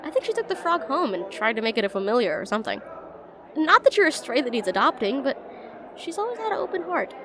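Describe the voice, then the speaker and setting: A woman's voice: high voice, very animated, quick pace. A woman playing a shy, rambling young witch student in a slice-of-life fantasy audio roleplay, chatty, hesitant and self-deprecating. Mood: warm, chatty. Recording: voice over busy classroom crowd ambience.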